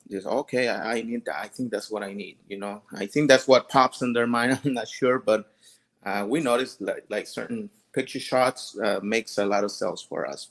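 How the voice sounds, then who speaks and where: A man from Mexico with a Mexican Spanish accent, sharing business tips in an easygoing, hesitant way. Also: on the low side. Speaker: small business owner. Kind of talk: casual tutorial chat.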